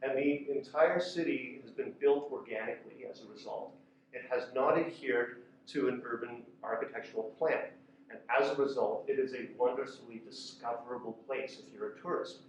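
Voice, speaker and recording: A man's voice: medium pitch, normal speed, a little hiss or hum. A man giving a conversational talk with slides to a room, using fillers and restarts as he explains. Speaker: conference speaker. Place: lecture room. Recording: echoey room from far off.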